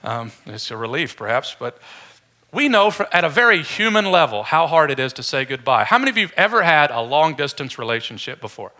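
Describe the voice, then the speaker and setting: Guy giving a chatty sermon, kinda high bright voice, informal and joking with the congregation. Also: very animated, fairly quick. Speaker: preacher. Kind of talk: conversational sermon.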